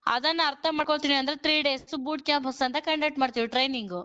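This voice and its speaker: Brief: high, rapid-fire woman recruiter, pushy sales pitch, muffled phone line. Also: steady tone, sharp tone. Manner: pushy, repeats "okay?" to hurry the listener. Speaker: job recruiter on a phone call. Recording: muffled phone call recording.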